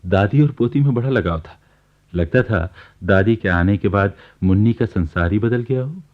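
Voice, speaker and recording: A man's voice: lowish voice, moderate pace, lightly acted. A man giving scripted storytelling narration in a radio play. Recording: thin phone-like archival radio recording.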